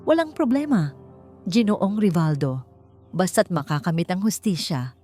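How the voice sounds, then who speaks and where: A determined investigator seeking justice read by a woman narrator in an audio story. The delivery is earnest and forthright, in a low pitch over faint music. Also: sing-song, moderate pace, dark tone. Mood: resolute.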